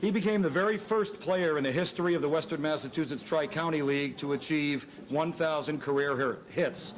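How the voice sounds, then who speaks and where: Man, scratchy hoarse voice, banquet emcee, rambling, slightly high. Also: a little fast. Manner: chatty, self-deprecating, rambling. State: hoarse from shouting. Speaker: master of ceremonies. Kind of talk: casual speech at a banquet. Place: hotel banquet hall.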